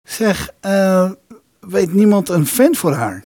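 A man's voice: high voice, very animated, slow, a little mellow, no echo, clean studio sound, heavily acted. An actor playing a scheming, dryly witty male lodger in a radio play, talking in scripted dialogue and storytelling.

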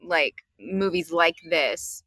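A young adult woman co-hosting a podcast from outdoors, chatting in a relaxed, easygoing way with plenty of fillers. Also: fairly low pitch, takes it slowly, dry recording, studio quality. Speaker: podcast co-host. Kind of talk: casual chat.

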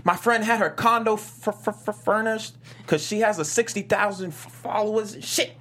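An actor playing a cocky, laid-back young male pro gamer at a comedy table read, casual and boastful with a husky voice. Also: mid-range voice, moderate pace, a bit bright, close to an everyday voice. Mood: defensive, boastful.

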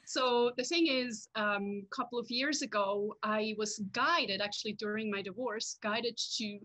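A woman's voice: fairly high pitch, unhurried, bright, forceful tone. A woman from Switzerland, a podcast guest, chatting casually and laughing often over a video call. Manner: laughs often, talkative, thinks aloud. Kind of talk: casual chat.

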